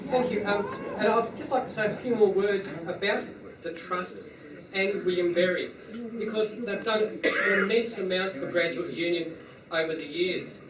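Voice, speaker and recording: A man, chairman of the house board, giving a thank-you speech at an evening function in a measured, informative way. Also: high voice. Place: function room at an evening party. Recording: echoey room, far-off mic, background noise.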